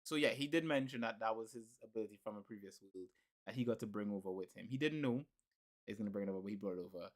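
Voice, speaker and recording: A man's voice: fairly low pitch, quick pace. A man co-hosting a casual podcast, rambling and repeating himself with frequent laughs. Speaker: podcast co-host.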